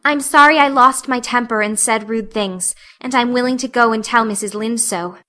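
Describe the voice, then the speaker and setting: Lady doing dramatic chatty young girl in an audiobook, highish bright voice, solemn n plaintive. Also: moderate pace. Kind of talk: audiobook character acting.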